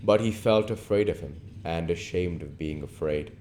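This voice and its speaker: A man narrating a literary novel as an audiobook reader, with measured, deliberate phrasing. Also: sits low, a little mellow. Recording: noticeable background noise.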